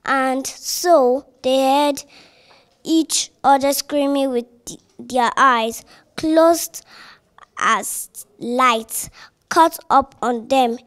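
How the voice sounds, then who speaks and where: A woman reading a children's story aloud in a deliberate, slightly halting way. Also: sits high, clean tone. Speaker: reader.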